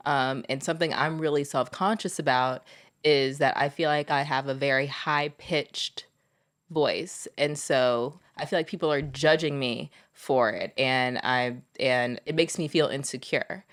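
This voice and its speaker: A woman's voice: rumbling low, steady tone, measured pace. A woman co-hosting a podcast, candidly telling stories in casual conversation. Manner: candid, eager storytelling. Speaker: podcast co-host.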